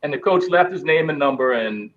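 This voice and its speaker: A middle-aged man tells stories and acts out the voices of the people in them. His voice sits slightly high. The sound is a little dull and muffled. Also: brisk. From Bahamas. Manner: animated storyteller acting out quoted voices. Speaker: guest, former basketball player. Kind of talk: storytelling interview.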